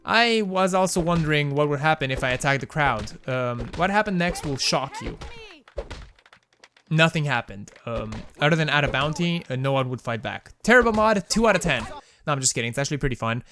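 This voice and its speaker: A man's voice: a little high, expressive, a little fast, bright, forceful tone, a little hiss or hum. A young man with a Romanian accent giving casual, wry, self-mocking commentary as host of a gaming channel. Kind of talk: casual game mod commentary voice-over.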